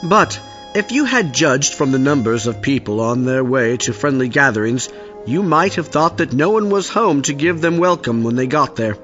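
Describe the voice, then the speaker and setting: A man's voice: mid-range voice, a bit bright. A man narrating a Victorian story as a scripted audio play. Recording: dull lo-fi audio with music behind.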